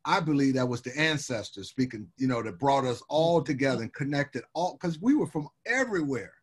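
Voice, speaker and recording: An older man from Birmingham, Alabama, speaking as a guest on a video call. He tells his stories in an easygoing, rambling way, full of "you know." His voice sits in a middle pitch for a man. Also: expressive, fairly quick, breathy edge. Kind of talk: casual interview storytelling. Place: home room with wood shutters and framed pictures.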